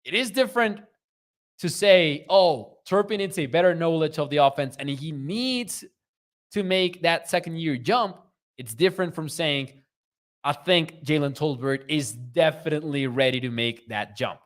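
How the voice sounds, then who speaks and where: A fairly high-voiced young man speaking English with a Mexican accent, hosting a casual live sports podcast. He talks conversationally, reacting to and reading out comments from his chat. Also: normal speed. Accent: Mexican Spanish. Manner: conversational, reads viewer comments aloud. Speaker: podcast host.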